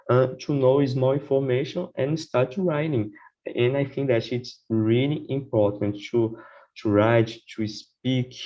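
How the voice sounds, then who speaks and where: A man speaks, talking slowly and deliberately in a relaxed podcast chat. He stumbles and restarts often and tags his points with "right?". The audio sounds dull and lo-fi. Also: mid-range voice. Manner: halting, many restarts, repeats "right?". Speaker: podcast guest. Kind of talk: casual conversation.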